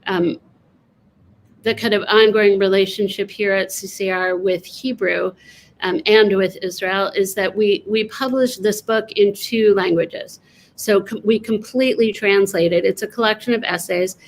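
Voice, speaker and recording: A woman presenting on an online panel, talking quickly with frequent ums and self-corrections. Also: medium pitch, fairly even intonation, slight background noise. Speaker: panelist presenting prayerbook editing.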